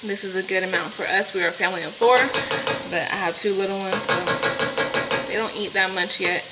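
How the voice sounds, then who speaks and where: A woman's voice: mid-range voice, fairly even intonation, fairly quick, rough recording. A young woman talking viewers through a recipe in her home kitchen, chatty, with plenty of fillers. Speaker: cooking vlogger. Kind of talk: casual cooking tutorial. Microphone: camera on the counter, close. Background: sizzling food and stirring.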